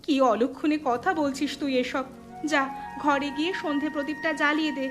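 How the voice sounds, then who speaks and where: A very high-pitched village mother frets and laments in a cartoon, voiced by a woman. She talks in anxious scolding tones. Music plays clearly underneath. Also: steady tone, fairly quick, fairly bright tone. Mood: fretful. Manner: fretful, lamenting. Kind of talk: cartoon voice acting.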